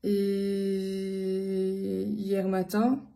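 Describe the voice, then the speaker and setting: Mellow, even-toned, hesitant woman. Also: medium pitch, vocal fry. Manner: hesitant, halting delivery with frequent long filled pauses. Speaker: vlogger addressing followers. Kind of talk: casual personal account.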